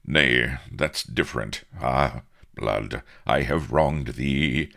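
A man's voice: extremely low pitch, slow, gravelly, sharp tone, full character voice. A man voicing a haughty, hot-tempered upper-class young English captain with pompous, archaic speech in a comic audiobook. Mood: remorseful.

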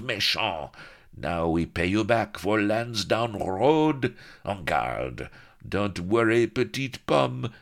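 A man voicing a crazed, flamboyant male French pirate captain with a French accent, shrill and theatrical, mixing French and English words.

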